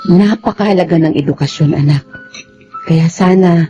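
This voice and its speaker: Actress as gentle struggling mother, mellow timbre, Filipino accent, dull lo-fi recording, radio drama. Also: on the low side, normal speed, soft background music, natural voice. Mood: tender, weary. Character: gentle, long-suffering, poor mother.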